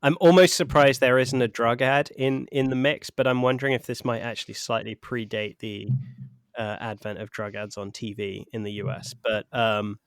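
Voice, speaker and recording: A man co-hosting a podcast, talking about a film in a measured, thoughtful way. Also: medium pitch, steady tone, dry recording. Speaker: podcast co-host. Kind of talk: casual film discussion.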